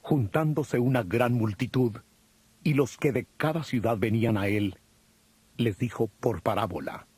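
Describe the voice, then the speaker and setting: Solemn male Bible narrator, mid-pitched. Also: darkish tone, breathy edge, dry recording. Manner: solemn, measured storytelling. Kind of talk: scripted narration of dramatized audio Bible. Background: music.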